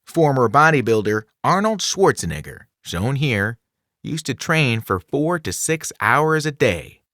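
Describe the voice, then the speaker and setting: A man narrating a scripted children's non-fiction book in an expressive, clearly enunciated storytelling delivery. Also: mid-range voice, measured pace, dry recording, clean studio sound. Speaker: audiobook narrator.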